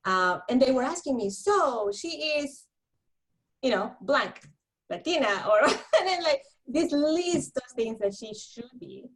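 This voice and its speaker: A woman from Honduras with a Honduran Spanish accent, telling her story in a lively conversational interview, laughing often and repeating words when worked up. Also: sits high, measured pace, poor audio. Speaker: guest counselor.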